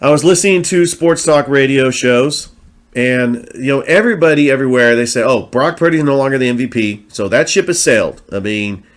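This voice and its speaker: A man hosts his own sports show in a lively, casual monologue. He talks with a broadcaster's energy, full of fillers and quick restarts. His voice sounds a little worn as it comes back after being lost. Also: medium pitch. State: recovering from lost voice.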